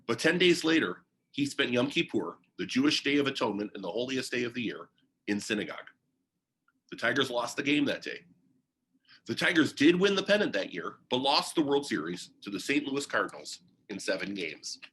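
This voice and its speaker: A man reading a biography aloud in an expressive storytelling manner. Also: mid-range voice, fairly quick, slight background noise, low-quality recording. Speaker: reader of a biography. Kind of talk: read-aloud biography narration.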